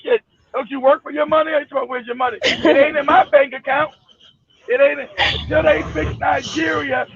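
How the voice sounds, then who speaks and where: A man hosting a casual chat, telling stories in a profane, animated way. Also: very high-pitched, fairly quick, highs cut off. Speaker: show host.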